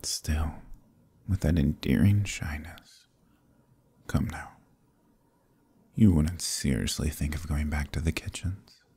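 A man voicing a deep gravelly demon prince. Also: slow pace, long pauses, heavily acted. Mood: possessive. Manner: hushed, purring, often whispering. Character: suave possessive male demon prince, commanding. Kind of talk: ASMR roleplay.

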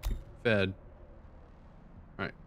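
An older man streaming a strategy game from his home, talking to himself in quiet, low-key asides. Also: slow, slight background noise. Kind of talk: casual let's play commentary. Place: home room, seated in a dark chair.

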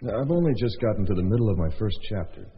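An actor playing a stubborn, unromantic writer husband in a radio drama, dry and dismissive. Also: male voice, lowish voice, big pitch swings, rapid-fire, faint music behind. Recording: dull lo-fi radio drama audio.

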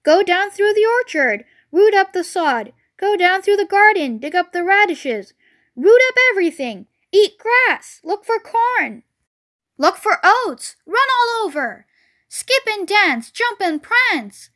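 A woman voicing a fast-talking female goose who repeats her words three times. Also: extremely high pitch, sing-song, a bit bright, pitched above the performer's own voice, exaggerated voice. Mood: excited.

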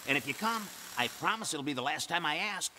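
A man's voice: on the high side, lively intonation, a little fast, pushed and bright, slight background noise. An actor playing an eager, friendly male convict in a radio drama.

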